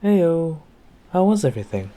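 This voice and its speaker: A man's voice: on the high side, very animated, normal speed, dark tone. A man playing an affectionate, easygoing boyfriend in an intimate roleplay audio, talking tenderly and casually straight to the listener. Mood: warm, casual. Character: affectionate easygoing boyfriend home from work on a snowy night.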